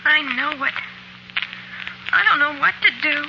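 Old-time radio ingénue: naive, pleading American waitress, high plaintive voice, dull hissy old recording. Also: female voice, steady tone, unhurried, creaky. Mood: anxious, hesitant. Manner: plaintive, hesitant, tearful. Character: distraught, naive young waitress, timid and pleading. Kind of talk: 1940s radio drama acting. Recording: muffled, noisy 1940s radio recording.

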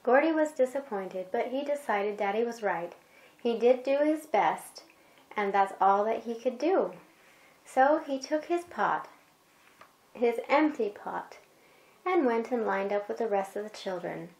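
A woman with a fairly high voice tells a bedtime story. She takes her time and gives the dialogue expression. The room around her is clearly echoey. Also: lively intonation, unhurried, a little mellow. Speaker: storyteller reading to a child.

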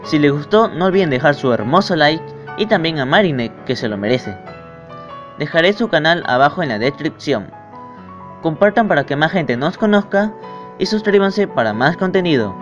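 A man's voice: a little high, lively intonation, moderate pace. A man speaking casually to viewers as the host of an amateur fandub channel. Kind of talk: casual video outro addressed to viewers. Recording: slightly dull lo-fi microphone, music behind. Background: music.

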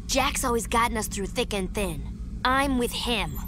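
A woman's voice: fairly high pitch, lively intonation, measured pace, background noise. A woman playing a smart, loyal young ally in a video game, earnest and assured. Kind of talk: game cutscene acting.

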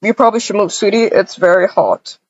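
A woman with a husky voice improvising a family roleplay in a halting, repetitive way, full of cooing endearments. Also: mid-range voice, no echo. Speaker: roleplay video creator voicing all characters. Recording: dull, lo-fi microphone sound.

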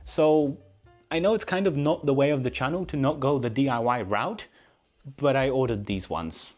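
A man's voice: medium pitch, moderate pace, faint music behind. A man in his thirties presenting a scripted maker explainer in a dry, tongue-in-cheek manner. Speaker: maker channel host. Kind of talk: scripted explainer narration to camera.

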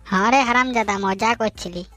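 A man playing a bossy, teasing young friend in a comic cartoon, using colloquial rural western West Bengal dialect and a pitched-up voice. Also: moderate pace, pushed and bright, soft background music. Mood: annoyed. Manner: colloquial, mocking, scolding.

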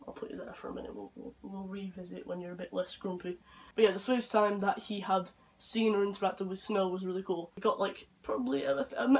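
A young man, hoarse from a flu, chatting casually in his bedroom and rambling softly to his dog. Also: high-pitched. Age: young adult. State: hoarse from flu and chest infection. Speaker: vlogger. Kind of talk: casual chat. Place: bedroom, indoors.

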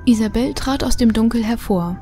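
A woman's voice: a little high, normal speed, dark tone, faint music behind. A woman narrating a scripted audio drama as its storyteller.

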